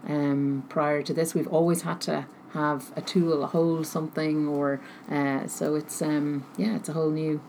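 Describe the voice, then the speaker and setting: A woman answering interview questions thoughtfully, pausing often as she searches for her words. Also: deep voice, little pitch movement, darkish tone. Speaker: interview guest, technology researcher. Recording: noticeable background noise.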